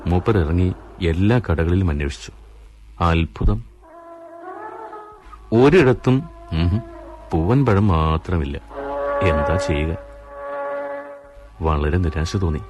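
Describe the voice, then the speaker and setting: Man, radio story narrator, very low voice, measured delivery, faint music underneath. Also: expressive.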